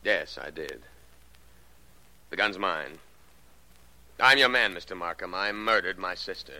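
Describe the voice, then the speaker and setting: A resigned, grave suspect in a 1940s radio play. His very deep voice creaks, and his delivery is subdued and heavy. The old recording is clearly noisy. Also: unhurried. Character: resigned, grave man confessing to a crime. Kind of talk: 1940s radio drama acting.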